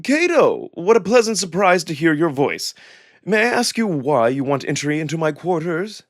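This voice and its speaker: A man voicing a composed, courtly male jackal who enunciates slowly and politely with a smug edge in a visual novel drama. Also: a little high, dry recording, studio quality. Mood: coolly polite. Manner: calm, polite, very slow enunciation.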